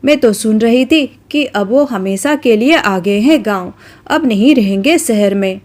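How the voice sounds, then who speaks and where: Woman doing a soft, deferential young bride for a storybook cartoon, fairly high voice. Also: lively intonation, unhurried. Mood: polite. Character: young, dutiful newlywed village bride.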